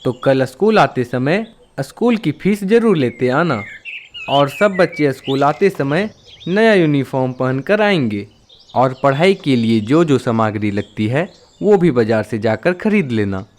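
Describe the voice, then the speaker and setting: A man's voice: mid-range voice, lively intonation, measured pace, slight background noise, natural voice. A man voicing a crow school principal in a cartoon, formal and instructive as he addresses pupils. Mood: instructive, firm. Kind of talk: Hindi cartoon voice acting.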